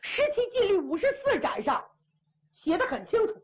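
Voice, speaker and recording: A woman's voice: very high voice, very animated, moderate pace, pitched above the performer's own voice. A woman voicing a stern, cold male marshal who gives clipped orders. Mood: stern rebuke. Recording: tinny phone-like audio.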